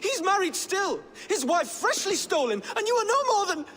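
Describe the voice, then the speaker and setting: A very high-voiced, bitter young noble. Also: male voice, sing-song, moderate pace, pushed and bright, long reverb tail, slight background noise, full character voice. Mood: furious accusation. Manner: sneering, theatrical. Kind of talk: video game acting.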